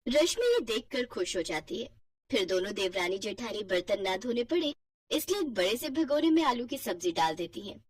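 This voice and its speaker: A woman's voice: mid-range voice, very animated, moderate pace, husky, no room sound, distant mic. A woman telling a scripted family cartoon story as narrator.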